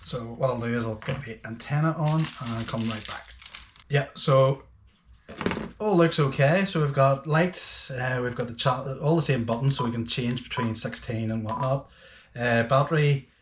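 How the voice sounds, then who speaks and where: A man's voice: medium pitch, moderate pace. Casual or man, noisy recording. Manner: informal, hesitant, thinking aloud. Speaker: host of a boating gadget channel. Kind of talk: casual hands-on product review.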